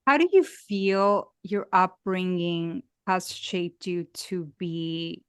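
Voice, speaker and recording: A woman's voice: on the low side, slow pace, smooth, dry recording, clean studio sound. A woman from Mexico with a Mexican accent, hosting a podcast interview in an eager, chatty way. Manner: eager, chatty, laughs easily. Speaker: podcast host. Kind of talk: casual interview chat.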